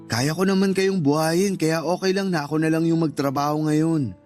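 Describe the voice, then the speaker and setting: A man plays a young husband in a radio drama, earnest and protective. His voice sits slightly high, with faint music behind it. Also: fairly even intonation, normal speed, darkish tone, natural voice. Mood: earnest, persuasive. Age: young adult. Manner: earnest, persuasive. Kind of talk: Tagalog radio drama acting. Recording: music bed under the voice.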